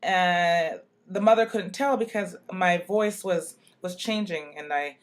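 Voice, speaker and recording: A woman with a deep voice casually telling a personal story in a recorded message to a youth project, in a relaxed, chatty way full of fillers. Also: measured pace. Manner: chatty, relaxed, many "like" and "you know" fillers.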